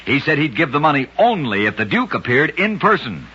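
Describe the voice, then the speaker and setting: A man with a husky, raspy voice narrating odd facts as a scripted vintage radio host, with dramatic, emphatic delivery. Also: on the high side, very animated, brisk, bright, forceful tone. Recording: dull lo-fi vintage radio recording.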